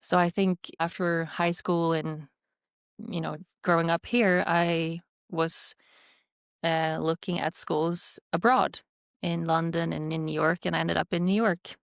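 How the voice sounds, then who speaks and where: A woman's voice: on the low side, level tone, measured pace, creaky, dry recording, clean studio sound. A woman in her thirties with a Swedish accent, a podcast guest reflecting and rambling with fillers. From Sweden. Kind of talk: casual interview chat.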